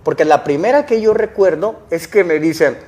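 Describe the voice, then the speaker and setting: Young man, guest, earnest storyteller, raised pitch. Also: expressive, normal speed, a little mellow. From El Salvador. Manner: earnest, explains with rhetorical questions. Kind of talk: casual interview storytelling.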